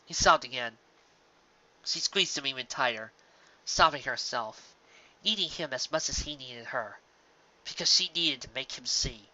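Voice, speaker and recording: A man's voice: mid-range voice, animated, a little slow, long pauses, bright, forceful tone. A man reading scripted fan-fiction narration as a dramatic storyteller, intense and emotive. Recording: dull lo-fi home recording.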